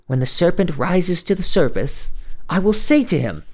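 A frightened young captive princess, pleading in a high, lively falsetto, as a male storyteller puts her on. Her voice leaps up and down with feeling. It is a storybook reading. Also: moderate pace, full character voice. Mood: earnest.

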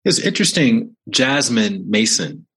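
A man's voice: fairly low pitch, sing-song, a little slow, a bit bright, husky, rough recording. A man hosting a podcast, introducing his guest with enthusiasm and then interviewing him. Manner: enthusiastic, upbeat. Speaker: podcast host.

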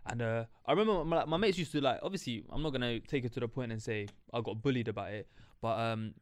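A man's voice: medium pitch, quick pace, no room sound. A man, musing at length in casual chat, with many fillers. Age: 20s. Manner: rambling, reflective, many fillers.

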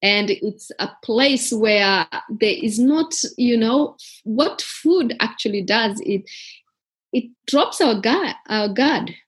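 Husky-voiced woman from Africa. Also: a little high, slow. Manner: earnest, animated, many fillers and restarts. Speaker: community garden founder. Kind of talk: interview storytelling.

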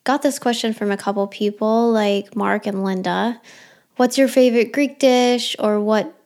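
A woman's voice: mid-range voice, moderate pace, a little mellow. A young adult woman hosting her own podcast, chatting casually and rambling through listener questions with a self-deprecating touch. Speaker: podcast host.

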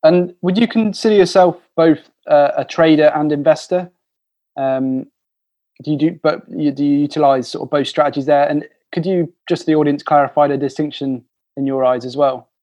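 A man's voice: fairly high pitch, steady tone, mellow. A man hosting an interview podcast, who reads a polished opening and then asks his questions with some hesitation. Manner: polished scripted intro, then halting questions. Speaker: podcast host.

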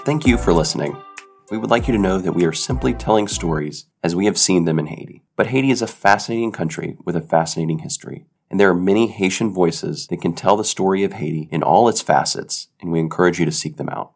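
A man's voice: sits low, creaky, no echo, clean studio sound, faint music behind. A man hosting a podcast, telling a personal story in earnest, reflective scripted narration. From United States. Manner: earnest, reflective, measured delivery. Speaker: podcast host.

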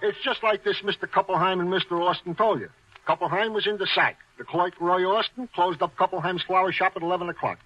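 A man's voice: high voice, fairly even intonation, quick pace, sharp tone, no echo. A man acting an eager, deferential police sergeant in 1940s radio style, wordy, officious and earnest. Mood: dutiful, officious. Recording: muffled vintage radio recording.

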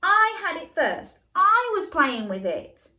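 A woman's voice: high voice, big pitch swings, unhurried, fairly bright tone, reverberant, voice pushed up, heavily acted. A woman voicing an indignant older boy. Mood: stern. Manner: stern, indignant, complaining. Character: exasperated stubborn older boy.

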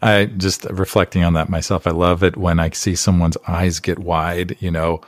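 A man's voice: sits low, little pitch movement, moderate pace, no echo. A man hosting a podcast interview, chatty and friendly, with frequent fillers. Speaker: podcast host. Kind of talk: casual interview chat.

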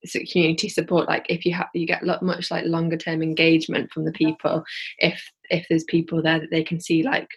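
Yorkshire woman, guest, husky and gravelly, talks in rambling restarts. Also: deep voice, completely flat delivery, brisk. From Yorkshire, England. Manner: rambling, thinks aloud with many restarts. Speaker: guest, social enterprise founder. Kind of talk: casual interview chat.

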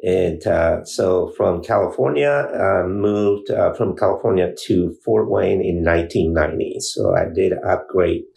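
A man from Peru, an insurance agent, answering interview questions in a measured way with many pauses and fillers. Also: deep voice, creaky, dark tone, reverberant.

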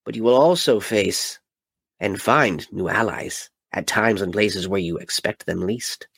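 A man voicing a wise old male mentor, speaking low, quiet and solemn. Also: a little slow, vocal fry, slightly rough, dry recording, full character voice. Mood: grave.

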